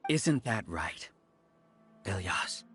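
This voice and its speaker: Smug, theatrical villainous researcher, animated delivery. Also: male voice, deep voice, extremely slow, over music, full character voice. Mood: smug, lecturing. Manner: grandiose, lecturing, mocking. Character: smug, resentful male researcher villain leading a hive mind. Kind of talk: anime video game voice acting.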